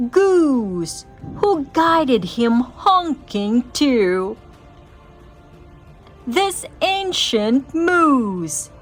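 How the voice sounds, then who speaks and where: A woman giving an expressive, theatrical read-aloud of a rhyming children's story. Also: high voice. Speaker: storybook reader.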